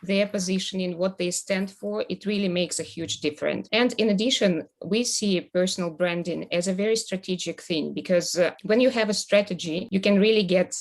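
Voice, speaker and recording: A woman hosts an online talk about personal branding. She introduces her guest in an upbeat, measured way. She then moves the discussion along with questions. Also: mid-range voice. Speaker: host.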